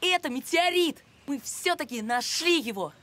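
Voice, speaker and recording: Woman voicing an earnest, lively cartoon boy. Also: high-pitched, normal speed, noisy background. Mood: excited. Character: earnest, curious, determined young boy. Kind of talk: animated cartoon voice acting. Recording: music under the voice.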